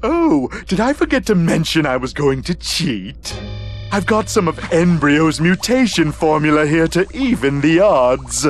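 A petulant mad-scientist villain for a cartoon game. The voice is high and swoops theatrically as it sneers and whines. Music plays underneath. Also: male voice, sing-song, unhurried. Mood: gloating, combative. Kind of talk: cartoon video game cutscene acting.

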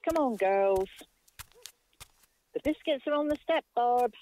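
A woman's voice: on the high side, expressive, measured pace, warm tone, rough recording. An actor, a woman playing a busy, kindly, apologetic village mother in a radio play. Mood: cheerful, hurried.

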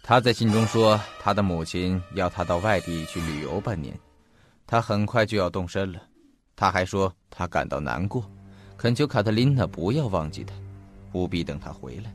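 A man acting in an audio drama as an earnest investigator, speaking in a formal, deliberate and detailed storytelling manner. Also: sits low, animated. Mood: grave, explanatory. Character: earnest male investigator recounting a mysterious disappearance. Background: faint background music.

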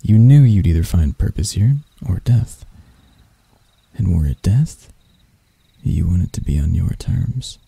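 Man as a husky, deranged spider vampire seducer. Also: deep voice, lively intonation, no echo, exaggerated voice. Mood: dark, persuasive. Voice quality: soft, breathy, husky. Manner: slow, teasing, theatrical, with dark chuckles. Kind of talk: intimate ASMR roleplay.

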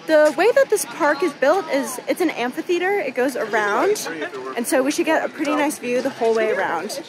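A young woman narrating a casual travel vlog, calmly explaining the route. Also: sits high, fairly quick, a crowd in the background. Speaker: travel vlogger. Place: outdoors on a snowy canyon rim. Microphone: phone camera at arm's length.

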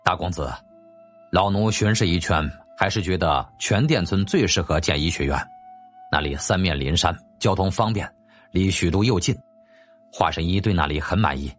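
A man's voice: low-pitched, moderate pace, faint music behind, voice pushed down, lightly acted. A man voicing a deferential old male household steward in an audiobook, speaking respectfully. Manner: respectful, humble.

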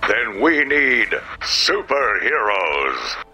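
An eager video game robot that speaks as a bombastic film-trailer announcer. The delivery is theatrical and slow, bright and forceful, with huge swings in pitch. Faint music plays behind it. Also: male voice, very animated, full character voice. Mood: earnest, theatrical. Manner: grandiose, theatrical, drawn-out. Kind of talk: dramatic movie-trailer announcer parody.

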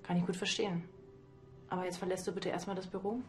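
Woman as a quick-talking kindly policewoman. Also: on the low side, flat delivery, over music, lightly acted. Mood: sympathetic. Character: brisk, kindly but firm policewoman.

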